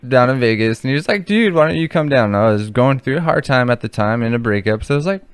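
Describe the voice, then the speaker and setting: Rambling young man with a smooth voice. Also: medium pitch, expressive, fast talker, no echo. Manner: rambling, joking storyteller. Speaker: podcast co-host. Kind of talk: casual chat.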